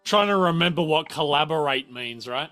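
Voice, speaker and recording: A man's voice: on the high side, expressive, normal speed, a bit bright. A man running a tabletop role-playing game as game master, improvising narration and calling for rolls. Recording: music behind the voice.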